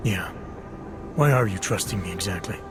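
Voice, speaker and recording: An actor playing a terse, skeptical detective in a video game, probing in a clipped, dry, challenging manner. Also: male voice, low-pitched, lively intonation, moderate pace, noisy background. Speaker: Interpol investigator. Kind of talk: video game cutscene dialogue. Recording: background music under dialogue.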